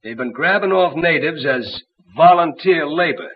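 Male actor as wisecracking slangy wartime tough guy, very animated delivery, muffled vintage radio sound. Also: a little high, moderate pace, lightly acted. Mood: emphatic, explaining. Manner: wry, slangy. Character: brash, slangy American tough-guy guerrilla sidekick. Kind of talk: 1940s radio adventure acting. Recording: muffled, hissy old radio broadcast.

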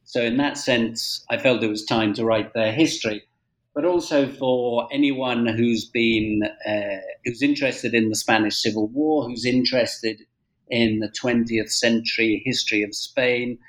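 A middle-aged man with a British accent giving measured, hesitant answers in an interview as an author and historian. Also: mid-range voice, steady tone, fairly bright tone, poor audio. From Britain. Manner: halting, measured, frequent hesitations. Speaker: guest author, historian and journalist.

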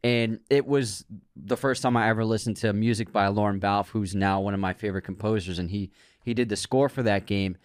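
Podcast co-host, male, lower voice, eager rambling film talk, dry close mic. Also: fairly even intonation, brisk, no room sound. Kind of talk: casual chat.

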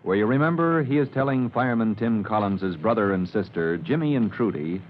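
A man's voice: fairly low pitch, animated, a little fast. Declamatory old-time radio announcer, man. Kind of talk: dramatic 1940s radio announcing. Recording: dull old radio recording with hiss.

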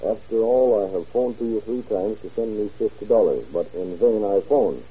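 Deputy in old radio show, deep-ish husky voice, deliberate, deferential, dull recording. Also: male voice. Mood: deliberate reading. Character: dutiful deputy, deferential, methodically reading out evidence. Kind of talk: old-time radio crime drama acting.

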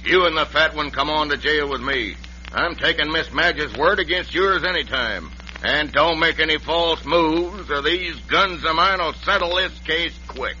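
An actor playing a gruff, folksy, blunt sheriff with an American Western accent in an old-time radio drama. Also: male voice, a little high, unhurried, pushed and bright. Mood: stern. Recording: muffled, faintly noisy vintage radio.

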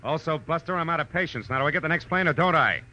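An American actor playing a hard-boiled, wisecracking private eye with wry, clipped delivery in a 1940s radio drama. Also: male voice, a little high, quick pace, sharp tone, slight background noise. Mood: impatient. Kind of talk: 1940s radio detective acting, first-person narration. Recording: muffled old radio recording, music behind.

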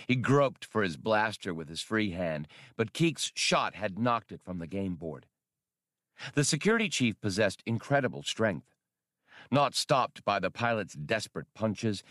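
A man narrates an adventure audiobook in a fairly low voice. His delivery is dramatic and animated, with plenty of pitch movement, recorded dry and close. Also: no echo. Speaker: audiobook reader. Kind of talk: dramatic scripted fiction narration.

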